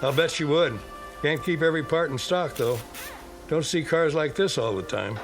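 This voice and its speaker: A man playing a laid-back small-town garage mechanic in a radio drama, folksy and unhurried. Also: on the high side, over music, lightly acted. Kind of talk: radio drama character dialogue.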